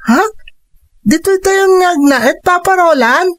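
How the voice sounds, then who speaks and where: A man's voice: extremely high pitch, expressive, takes it slowly, a bit bright, heavily acted. A performer voicing a young boy in a radio drama, eager and full of questions. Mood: surprised.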